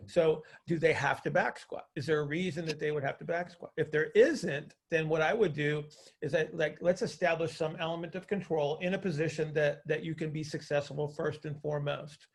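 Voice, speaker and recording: Guy coaching on a conference call, slightly high voice w lots of fry, explains stuff and keeps asking if you get it. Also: steady tone, normal speed. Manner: didactic, checks understanding with questions, repeats phrases for emphasis. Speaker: coach hosting a group call, teaching.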